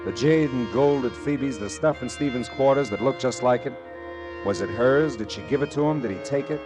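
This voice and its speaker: An actor playing a wry, laconic, world-weary private detective in a radio drama, narrating and trading dialogue. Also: male voice, mid-range voice, normal speed, over music, close to an everyday voice. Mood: hardboiled narrating.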